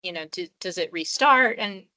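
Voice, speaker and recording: A woman's voice: lowish voice, lively intonation, moderate pace, bright, forceful tone, no echo. Woman asking a thoughtful question. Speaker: meeting participant.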